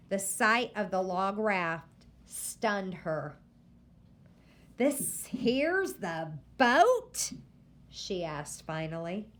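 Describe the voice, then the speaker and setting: A woman reading a novel aloud to listeners, pausing often to ask them questions about the story. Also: medium pitch. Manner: slow, deliberate, stopping to quiz listeners.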